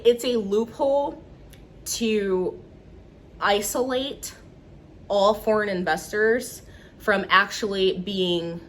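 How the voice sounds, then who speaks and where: A woman hosting an investing channel, talking casually to camera, congested and a little nasal from a cold, with a relaxed, chatty manner full of fillers. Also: mid-range voice, a little hiss or hum. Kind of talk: casual explainer talk to camera.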